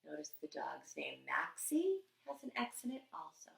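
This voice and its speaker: Lady reading a kids book out loud, bright voice, slow and sing-songy, kinda roomy sound. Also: low-pitched, creaky. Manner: expressive, deliberate, sing-song rhyming delivery. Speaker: teacher reading a picture book aloud. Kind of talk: animated children's storybook reading.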